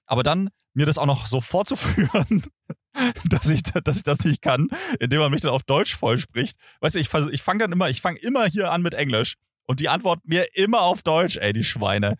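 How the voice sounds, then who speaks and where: Male podcast co-host, animated and self-deprecating, dry close sound. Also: fairly high pitch, brisk, no echo. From Germany. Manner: animated, self-mocking, many restarts. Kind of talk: casual remote podcast chat.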